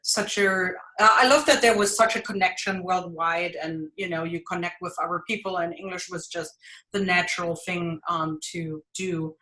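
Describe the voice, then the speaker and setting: A woman's voice: lowish voice, steady tone, normal speed, pushed and bright, rough recording. A woman in her forties from Germany with a German accent, chatting as a podcast guest, laughing often and a little nervous. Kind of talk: casual interview chat.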